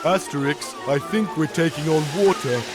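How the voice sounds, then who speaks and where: A man's voice: fairly high pitch, normal speed, loud music behind the voice, natural voice. A man playing a big, good-natured, dim Gaul warrior in a cartoon game, blunt and jovial. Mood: plain concern. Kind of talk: video game cartoon acting.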